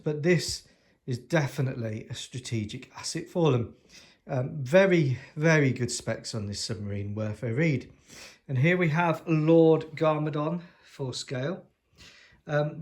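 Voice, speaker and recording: A man's voice: medium pitch, a little mellow. A man with hay fever who sounds sniffly, casually showing off his model collection at home in a chatty, rambling way with lots of ums and dry jokes. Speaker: scale model hobbyist.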